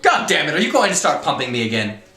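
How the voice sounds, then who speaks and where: Storybook colonel, played by a man: loud exasperated bully, bright strained pitch, echoey room. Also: very animated, fast. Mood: exasperated, badgering. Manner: shouting, exasperated, badgering interrogator. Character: furious, bullying, big military colonel barking at cadets.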